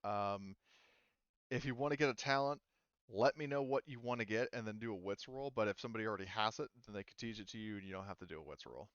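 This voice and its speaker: Male game master, low and resonant, casually talking through rules, close dry sound, slightly dull audio. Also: fast, fairly bright tone, clean tone, no room sound.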